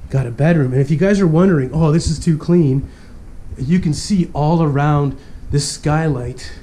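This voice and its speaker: A man's voice: fairly high pitch, normal speed, mellow. A young man with a stuffy cold, narrating a casual walkthrough of an empty abandoned mansion and chatting to viewers as he goes. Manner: upbeat, keeps addressing viewers as guys. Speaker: urban explorer vlog host.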